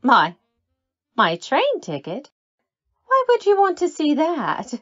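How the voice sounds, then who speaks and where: A woman's voice: high-pitched, wildly swooping pitch, takes it slowly, no echo, highs cut off, faint music behind, full character voice. A woman acting a nervous, lovelorn scorned woman with a guilty secret in an old-time radio drama, coy and defensive.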